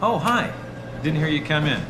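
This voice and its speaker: A man's voice: a little high, lively intonation, normal speed, roomy sound, music in the background, natural voice. A man playing a friendly, modest and eager graduate researcher, hesitant and chatty, in a video game. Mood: friendly, awkward. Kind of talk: naturalistic video game acting.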